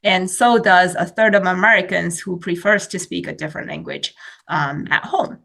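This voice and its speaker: A woman's voice: lowish voice, normal speed, creaky, fairly bright tone. A woman whose first language is Chinese, explaining research in a webinar presentation with many fillers and restarts, speaking over a video call.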